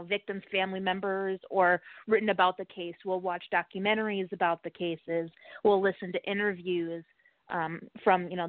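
A woman's voice: fairly low pitch, dry recording. A woman podcast host answering interview questions conversationally, explaining things earnestly and in detail. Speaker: true crime podcast host being interviewed as a guest. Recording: band-limited, muffled call audio.

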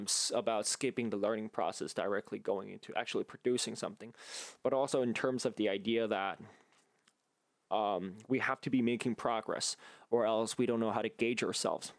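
Male solo podcast host, lowish and creaky, earnest, halting, reflective and conversational. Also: no echo. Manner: earnest, halting, thinking aloud. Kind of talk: casual reflective monologue.

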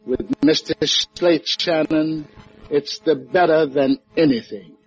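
Gentle loyal island musician from old radio, highish voice, broken english, music behind. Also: male voice, fairly even intonation, slow, dull audio. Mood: fond. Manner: quaint broken English, singsong storytelling. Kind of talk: 1950s radio drama acting.

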